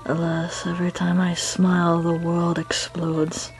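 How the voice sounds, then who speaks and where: A woman voicing a gloomy, soft-spoken character for an amateur comic dub, murmuring in a slow, melancholy way. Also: lowish voice, completely flat delivery, soft background music, pitched below the performer's own voice. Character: gloomy, soft-spoken top-hatted character sighing "alas".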